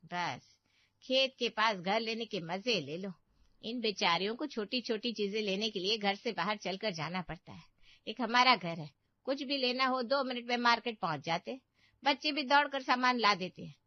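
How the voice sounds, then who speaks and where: A woman's voice: a little high, animated, moderate pace, dry recording, natural voice. A woman voicing a boastful, fault-finding elder aunt in a Hindi cartoon family story, with a scolding, exclamatory manner. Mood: nagging. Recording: dull, muffled studio voice track.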